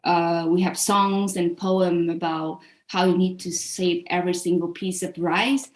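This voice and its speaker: A woman's voice: lowish voice, level tone, a little slow. A woman from Vietnam, speaking candidly and earnestly as a webcast host in casual interview talk. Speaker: culinary association staff member and webcast host. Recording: echoey room sound.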